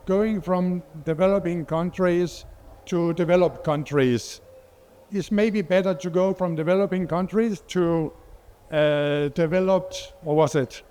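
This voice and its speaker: A man's voice: high-pitched, dark tone, clean tone. A middle-aged man from Denmark, a non-native English speaker, giving a conference presentation as a water expert, hesitant with many fillers and restarts. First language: Danish.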